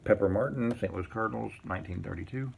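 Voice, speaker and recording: A man casually showing a collection on an unscripted video, reading items out in short, halting phrases with muttered asides. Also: on the low side, gravelly, very noisy. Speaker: collector showing vintage baseball cards. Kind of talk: casual unscripted show-and-tell, reading out cards.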